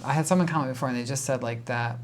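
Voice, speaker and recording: A man's voice: mid-range voice, steady tone, rapid-fire, slight background noise. American guy doing a reaction vid, casual n rambly, kinda exasperated. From United States. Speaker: YouTube host reacting to a video.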